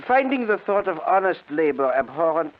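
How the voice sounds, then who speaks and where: A very tinny, faintly noisy old radio recording of a genteel, cautious criminal. His speech is formal and articulate, wry in manner, swinging widely in pitch as in 1950s radio drama. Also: very animated, normal speed. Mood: musing, wry. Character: erudite, cautious male crook nicknamed Doc, wryly genteel.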